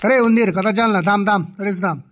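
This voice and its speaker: A man's voice: high voice, quick pace, a bit bright, slight background noise. A man playing a stern, sarcastic village schoolmaster in a comedy cartoon, with a Khandeshi Ahirani accent. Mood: sarcastic scolding. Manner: sarcastic, scolding, bossy.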